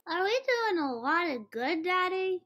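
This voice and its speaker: A woman playing a mischievous, deadpan little girl in an old-time radio comedy. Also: very high-pitched, unhurried, heavily acted. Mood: innocent, curious.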